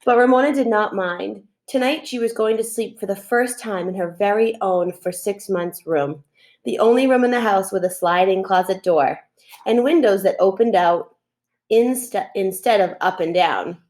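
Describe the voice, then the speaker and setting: A woman's voice: mid-range voice, normal speed, a little mellow. A middle-aged woman reading a children's chapter book aloud as a teacher would, recorded at a computer in a room at home. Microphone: computer mic at desk, webcam framing.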